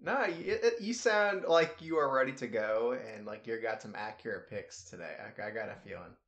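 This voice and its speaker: A man's voice: mid-range voice, animated, normal speed, fairly bright tone. A young adult man co-hosting a casual sports podcast, talking in an easygoing, teasing way. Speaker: podcast co-host.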